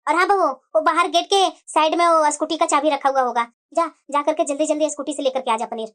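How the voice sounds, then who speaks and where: A woman voicing an older mother-in-law who speaks gently and soothingly to her daughter-in-law. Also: high voice, fairly even intonation, brisk, pitched below the performer's own voice. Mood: coaxing.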